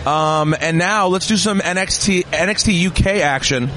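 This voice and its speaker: A man's voice: high voice, normal speed, sharp tone, a little hiss or hum. Rambling man hosting an interview. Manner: rambling, restarts questions. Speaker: podcast host. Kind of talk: casual interview questions. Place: busy fan event.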